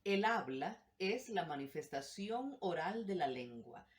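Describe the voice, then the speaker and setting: Woman teacher, lower pitch, steady explanatory read with emphasis on examples. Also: a little slow. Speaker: language teacher. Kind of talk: explanatory lesson.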